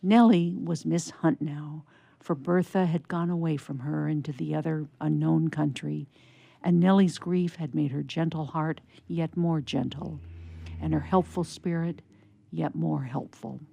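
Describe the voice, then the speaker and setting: A woman narrating a scripted story for radio theatre in a measured storytelling manner. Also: deep voice, steady tone, vocal fry, warm tone, no echo.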